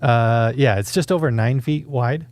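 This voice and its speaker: A man's voice: medium pitch, a little slow, a little mellow, a little hiss or hum. A man who hosts video reviews, chatting casually from a room at home. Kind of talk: casual chat.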